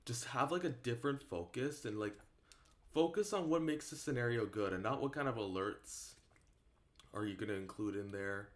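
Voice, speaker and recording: Man, medium pitch, casual online creator, off-the-cuff opinion talk, halting, rambling, repetitive for emphasis.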